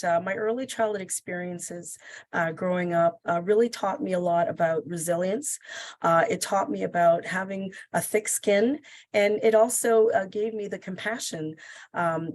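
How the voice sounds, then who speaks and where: A woman in her fifties, born in Jamaica, speaking candidly and with measured pauses as a panelist. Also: lowish voice. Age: 50s. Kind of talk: panel discussion.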